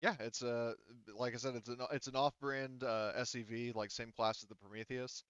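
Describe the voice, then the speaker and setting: A man's voice: mid-range voice, steady tone, brisk, a bit bright, clear, resonant tone, no echo, dull audio. A man running a tabletop game, talking casually as game master and explaining options in a rambling, hedging way.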